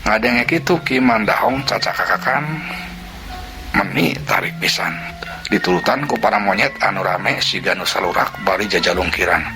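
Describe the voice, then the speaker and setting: A man's voice: on the low side, moderate pace. A man with a Sundanese accent narrating a folk tale vividly, voicing its sound effects himself. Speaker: storyteller. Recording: narration over loud music.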